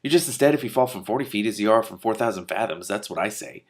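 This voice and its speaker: A man's voice: lowish voice, animated, fast, natural voice. Man reading as a cheerfully naive tourist. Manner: stout, cheerful, musing. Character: cheerful, naive, dreamy male tourist unafraid of heights.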